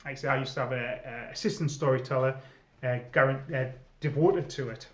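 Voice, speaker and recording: A middle-aged man giving informal advice to viewers in a conversational, emphatic way, often pausing mid-sentence. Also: mid-range voice. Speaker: experienced role player giving advice to newcomers. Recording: echoey room, slightly dull sound.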